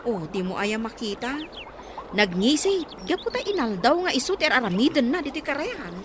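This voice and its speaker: Fretful village daughter played by an actress, high and very animated, Ilocano accent, noisy radio-play recording. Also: female voice, normal speed. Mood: chatty. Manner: exclamatory, dramatic. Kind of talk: Ilocano comedy radio drama acting.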